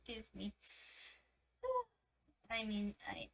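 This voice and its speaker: A woman's voice: a little high, very slow. A woman chatting casually on a game livestream, reacting with cutesy little interjections. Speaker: VTuber game streamer. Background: game music.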